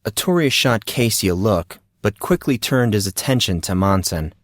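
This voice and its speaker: A man's voice: lowish voice, expressive, normal speed, dry recording, clean studio sound. A man narrating a scripted story as the narrator of an audio drama.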